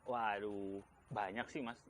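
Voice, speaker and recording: A man's voice: lowish voice, fairly even intonation, slow pace, fairly bright tone, a little hiss or hum. A man from Indonesia with a Jakarta accent, talking casually as an interview guest in loose, informal phrases. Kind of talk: casual chat.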